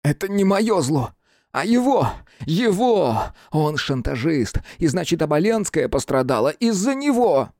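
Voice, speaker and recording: A man's voice: on the high side, big pitch swings, a little slow, a little mellow, no room sound, clean studio sound, voice pushed up. A man voicing an anguished, bitter hunchbacked male teacher in an audiobook, fervent and defiant, with breathless indignation. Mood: furious. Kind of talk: dramatic audiobook character reading.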